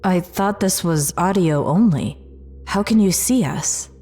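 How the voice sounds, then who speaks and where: An actress playing a doubting but curious scientist in an audio drama, questioning politely. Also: female voice, fairly low pitch, measured pace, mellow, faint music behind. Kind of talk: dramatic audio fiction acting.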